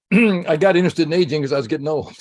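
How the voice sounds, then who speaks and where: A man's voice: on the high side, fairly quick. A man in his seventies, a psychologist guest, answering interview questions in a rambling way with many fillers and restarts, heard over a video call. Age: 70s.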